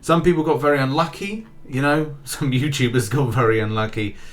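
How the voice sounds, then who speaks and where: A man of middle pitch hosts a gaming news roundup in a relaxed, chatty way. He often restarts phrases and runs through lists of scores and rankings one after another. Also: a little fast.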